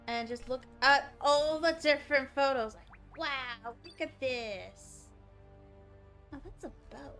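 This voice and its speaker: A woman's voice: high voice, takes it slowly, a bit bright, soft background music. A woman livestreaming a game, chatting casually and thinking aloud as she reacts to what is on screen. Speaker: game streamer.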